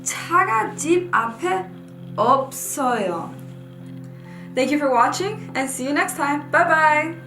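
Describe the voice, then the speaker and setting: A young woman with a fairly high voice explains a lesson step by step, patient and clear as she points at her examples. The room rings with echo, and music plays behind her. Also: animated, normal speed. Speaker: language teacher. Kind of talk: scripted lesson narration.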